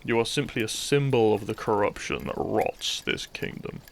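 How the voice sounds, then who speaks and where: A man playing a bitter, brooding thief in a scripted audio roleplay, speaking straight to the listener with mocking politeness and dramatic pauses. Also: on the low side, vocal fry. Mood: calm, menacing. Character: bitter young male thief, kidnapper, once a noble's childhood friend.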